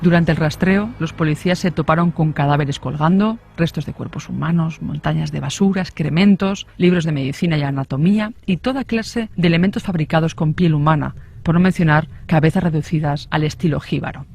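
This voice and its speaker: A man's voice: a little high, brisk, gravelly, a little mellow, slightly rough. A man reading scripted true-crime narration as a storyteller.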